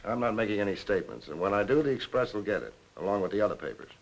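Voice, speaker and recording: A man's voice: lowish voice, big pitch swings, very fast. A man playing a curt, businesslike police lieutenant in a 1940s crime film, with a clipped, authoritative manner. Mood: curt, defiant. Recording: noisy old 1940s film soundtrack.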